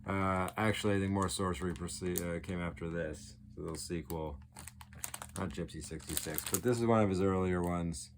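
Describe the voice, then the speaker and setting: A man's voice: sits low, steady tone, normal speed, noisy background. A man giving a casual, off-the-cuff show-and-tell as a collector, rambling along with geeky enthusiasm. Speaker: record collector, video host.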